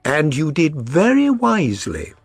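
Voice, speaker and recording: A self-assured gentleman detective, acted by a male audiobook reader, speaks with incisive authority. His questions are precise and probing, in a slightly high, husky voice that rises and falls dramatically. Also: wildly swooping pitch, a little slow, no room sound, clean studio sound, soft background music, exaggerated voice. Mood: probing, brisk. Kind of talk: audiobook character reading.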